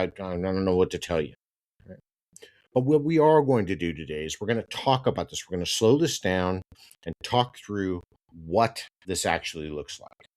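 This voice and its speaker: Congested, nasal man hosting a podcast. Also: sits low. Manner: conversational, repeats "right?" often, turns firm and emphatic when ranting. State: congested with hay fever. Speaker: podcast host. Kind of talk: solo podcast monologue.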